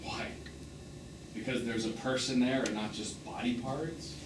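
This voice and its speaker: A man's voice: mid-range voice, fairly even intonation, measured pace, natural voice. A man acting a melancholy, tender, wounded romantic in stage drama dialogue, lyrical and pointed in delivery. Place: theatre stage, recorded from the audience distance. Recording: echoey, distant, noisy live theatre recording.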